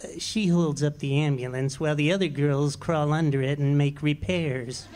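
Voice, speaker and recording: An actor playing a meek, henpecked middle-aged husband in a live radio play, speaking softly and hesitantly. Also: male voice, on the high side, steady tone, normal speed, slight background noise, close to an everyday voice. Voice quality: soft, mild and hesitant. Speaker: amateur actor.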